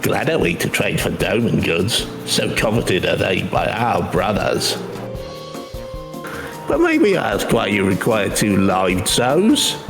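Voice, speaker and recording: A man's voice: on the low side, big pitch swings, takes it slowly, gravelly, over music, full character voice. A man voicing a proud steppe tribesman with stately, archaic phrasing in a game. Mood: courteous.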